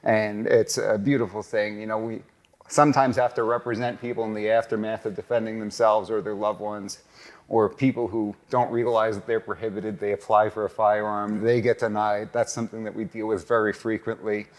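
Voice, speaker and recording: A man's voice: lowish voice, level tone, fairly quick. A man hosting a casual interview podcast, upbeat and promotional, recorded in a cigar lounge. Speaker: podcast host and lawyer.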